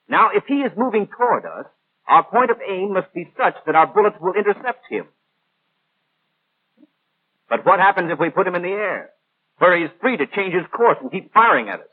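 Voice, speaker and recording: A man's voice: high voice, slightly rough, exaggerated voice. A man playing a precise, didactic instructor, narrating a 1940s training film in formal language. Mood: explanatory. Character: male instructor, patient, precise, pedantic lecturer. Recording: muffled, narrow 1940s film soundtrack.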